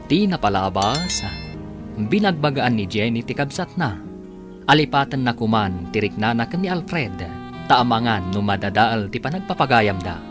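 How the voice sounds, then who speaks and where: A man's voice: medium pitch, lively intonation, normal speed. A man with an Ilocano accent reading scripted radio drama narration as the announcer, in a dramatic, emphatic way. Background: music.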